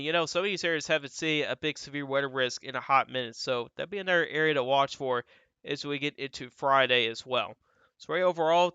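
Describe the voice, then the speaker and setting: A young man with a slightly stuffy, dry-throated voice walks through weather forecast maps in a loose, rambling way, leaning on the same filler phrases. His tone is bright and clean, and he sits close to a muffled, slightly dull microphone with no room sound around him. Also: on the high side, clean tone, no room sound. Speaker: weather channel host. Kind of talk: casual weather forecast commentary over model maps.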